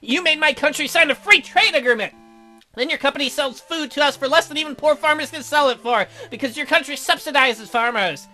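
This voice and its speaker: Lady acting a preachy angry vigilante girl from a game, bright forceful voice, bossy, music behind. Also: high-pitched, a little fast. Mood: righteous anger. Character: zealous angry young female vigilante kidnapper.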